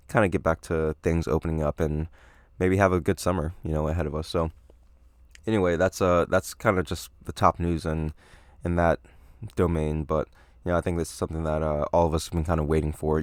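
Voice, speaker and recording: A young man hosting a solo podcast, talking casually with a slightly raspy voice, hedging and filling pauses with "you know" and "um". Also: low-pitched, darkish tone, no room sound, studio quality. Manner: rambling, hedging, many fillers. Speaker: podcast host.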